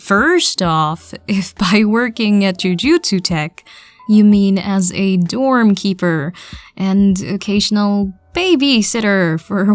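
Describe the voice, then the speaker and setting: A woman narrating a fan audio drama and voicing the listener's part, stammering and laughing self-consciously. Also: mid-range voice.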